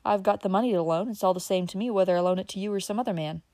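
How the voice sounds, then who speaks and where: A woman reading an audiobook voices a fat, folksy old country man who talks in rural dialect, loud and excitable. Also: medium pitch, racing, no room sound, natural voice. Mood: insistent.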